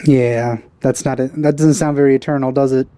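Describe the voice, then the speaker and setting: A man's voice: medium pitch, steady tone, a little fast, dark tone, smooth, dry recording. A man giving casual let's play commentary in a musing, understated, tentative way.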